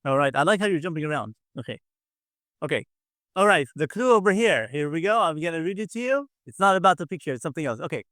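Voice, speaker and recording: A man's voice: fairly high pitch, expressive, quick pace, a bit bright, dry recording. A man casually hosting a quiz game on a video call, encouraging and often repeating himself. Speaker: quiz show host.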